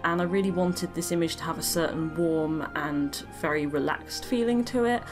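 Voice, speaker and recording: Tired, chatty woman. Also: fairly low pitch. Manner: chatty, self-deprecating, laughs often. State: slightly croaky, tired. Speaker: art channel host. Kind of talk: casual voice-over commentary on a drawing. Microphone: desk microphone. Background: background music.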